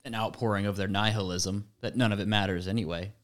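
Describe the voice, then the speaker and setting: Smooth, lowish-voiced man chatting. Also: fairly even intonation, fast. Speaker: podcast participant. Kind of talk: casual conversational commentary.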